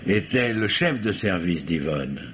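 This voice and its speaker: A wily, insistent police commissioner in a radio mystery, with lively intonation. He repeats himself hesitantly, then takes command. The recording is muffled and faintly noisy. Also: low-pitched, a little slow. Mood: methodical probing. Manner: hesitant, repetitive phrasing that turns commanding. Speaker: actor in a radio play. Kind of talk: 1950s French radio mystery acting.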